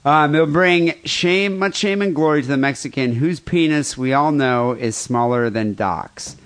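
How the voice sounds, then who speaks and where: A man's voice: a little high, measured pace. A man hosting a comedy podcast, chatting casually with crude jokes and reading out listener mail. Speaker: podcast host.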